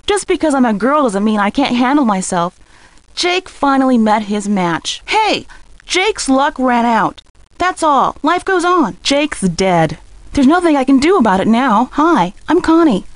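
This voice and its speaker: A woman voicing a blunt, defensive and scornful high school girl in a video game, with a sassy, dismissive way of talking. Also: dry recording.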